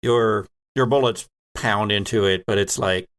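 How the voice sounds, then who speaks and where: Male game master, lower voice, descriptive improvised narration delivered in pieced-together phrases. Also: unhurried, a bit bright.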